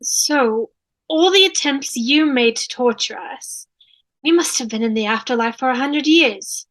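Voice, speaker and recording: A woman's voice: sits high, animated, measured pace, fairly bright tone, low-quality recording. A woman reading the part of a questioning tormented human at a table read. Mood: puzzled realisation. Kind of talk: amateur script table read.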